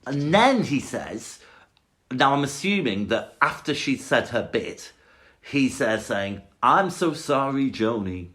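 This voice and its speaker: A man co-hosting a podcast, chatting casually about a song, enthusiastic and quick to laugh while arguing playfully. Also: medium pitch, sing-song, fairly bright tone. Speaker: podcast co-host. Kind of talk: casual chat reviewing a song.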